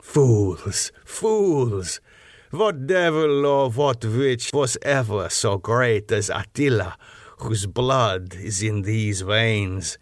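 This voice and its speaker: Proud ancient vampire nobleman: boastful, declamatory gothic storybook villain, measured pace, dry close sound. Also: on the low side, animated, no room sound. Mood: scornful, fierce. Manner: grandiose, declamatory, rhetorical questions. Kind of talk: dramatic gothic audiobook character reading.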